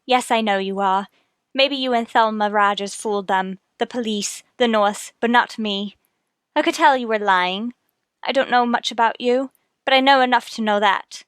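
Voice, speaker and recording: A woman's voice: a little high, moderate pace, clean tone, dry recording, clean studio sound, lightly acted. A woman acting in a radio murder mystery, playing an earnest, emotional character with dramatic delivery. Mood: resolute, hurt.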